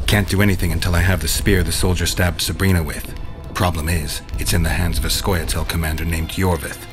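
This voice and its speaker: An actor playing a stoic, dry-witted monster hunter in a video game, speaking tersely in a deadpan manner. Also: male voice, low-pitched, fairly quick, over music, close to an everyday voice. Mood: terse, businesslike. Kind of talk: video game dialogue acting.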